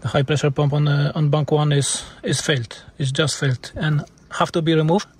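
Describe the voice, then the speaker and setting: A man with a Polish accent, a native Polish speaker, explaining car repairs informally as a mechanic in halting, non-native English. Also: a little high, fairly even intonation, breathy edge.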